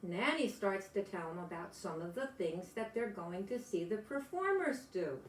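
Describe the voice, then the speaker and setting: A woman's voice: medium pitch, sing-song, normal speed, roomy sound, a little hiss or hum. An older woman, a grandmother, reading a children's storybook aloud expressively and adding chatty exclamations for young listeners. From United States.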